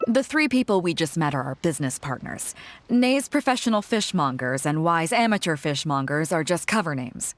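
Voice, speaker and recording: A woman's voice: deep voice, animated, normal speed. A woman playing a confident, easygoing pirate captain in a video game, assured and frank. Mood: explaining, confident. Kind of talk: video game character acting.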